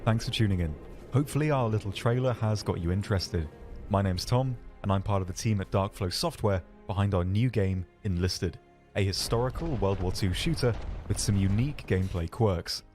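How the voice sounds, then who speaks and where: A man narrating a scripted game showcase as a developer, explaining features in a measured way. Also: on the low side, darkish tone. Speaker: game developer presenting his team's game. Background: background music.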